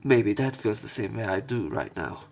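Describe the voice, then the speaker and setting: A man's voice: lowish voice, animated, moderate pace, vocal fry, a little mellow. A man reading visual novel story text aloud as a let's play narrator, with some commentary. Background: game music.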